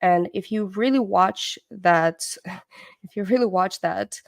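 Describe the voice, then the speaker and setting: A woman's voice: medium pitch, measured pace, vocal fry, no echo, studio quality. A middle-aged woman whose first language is Russian, born in the Soviet Union, hosting a livestream and talking in a loose, rambling way with many hesitations and restarts. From born in the USSR, Ukrainian background. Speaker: livestream host. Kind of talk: casual livestream commentary.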